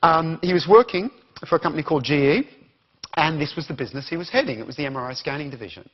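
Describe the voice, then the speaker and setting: Australian male keynote speaker, husky, higher-pitched, dull lo-fi capture. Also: fast talker. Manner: animated, questions the audience. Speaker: conference speaker. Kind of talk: interactive keynote talk.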